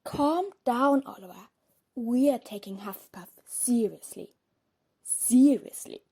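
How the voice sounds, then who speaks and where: A woman's voice: on the high side, lively intonation, measured pace, dark tone, slightly rough, full character voice. A woman playing a cheeky, easygoing teenage boy twin in an audio drama, breezy and teasing.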